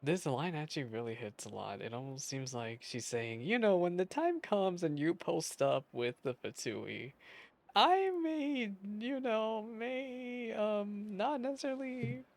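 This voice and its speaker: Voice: medium pitch, sing-song, unhurried. A game streamer giving casual, slangy reaction commentary in a playful, exclamatory manner. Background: faint game music.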